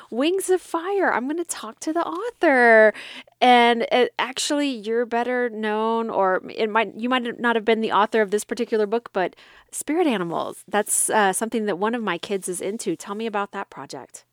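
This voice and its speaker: A woman's voice: on the high side, animated, normal speed, no echo, studio quality. A woman hosting a morning radio interview, speaking thoughtfully and searching for words. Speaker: radio host.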